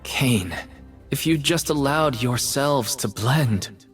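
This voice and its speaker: An actor playing a somber, haunted artist mage in a video game, speaking in measured, poetic lines. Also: male voice, mid-range voice, animated, a bit bright, soft background music. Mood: brooding. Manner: slow, measured, poetic delivery.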